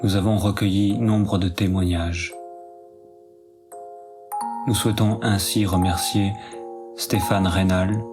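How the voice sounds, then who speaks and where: A man reading scripted credits as a narrator, in a measured and even delivery. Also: low voice, music in the background.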